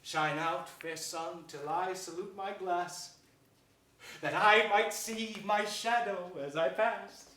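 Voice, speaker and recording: A villainous duke in a Shakespearean stage play, heard from a distance in an echoing theatre. He is mocking and pleased with himself, declaiming every line slowly and deliberately. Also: high voice, fairly even intonation, sharp tone, slight background noise, exaggerated voice. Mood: exultant. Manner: declamatory, deliberate, with sardonic relish. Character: gloating, scheming villainous royal duke, mockingly self-admiring. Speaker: stage actor. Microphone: distant camera or stage mic.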